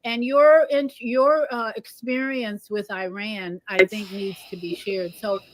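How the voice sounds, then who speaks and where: A woman talks to her audience as the host of an informal show. Her delivery is earnest and conversational, with an encouraging tone and a medium-pitched voice. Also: fairly even intonation, a little slow, low-quality recording. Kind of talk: informal monologue to viewers and interview.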